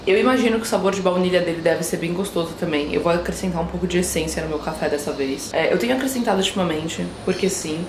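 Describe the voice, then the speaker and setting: A woman's voice: on the low side, quick pace, hardly any pauses, noisy background. A woman in her mid-twenties with a São Paulo Brazilian accent, chatting casually and informally to a camera at home, slangy and self-deprecating. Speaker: vlogger. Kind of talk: casual daily vlog chat to camera. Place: small rooms at home, indoors.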